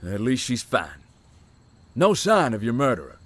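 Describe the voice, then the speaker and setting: A performer voicing a calm, guarded, deadpan believer in ghosts in a visual novel, speaking tersely. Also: mid-range voice, a little slow, husky, heavily acted. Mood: dry.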